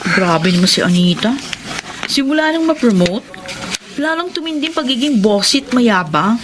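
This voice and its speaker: A woman's voice: fairly high pitch, lively intonation, moderate pace, noisy background, lightly acted. An actress playing a gossipy female coworker who urges on a friend in a Filipino radio drama. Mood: indignant. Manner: conspiratorial, urging.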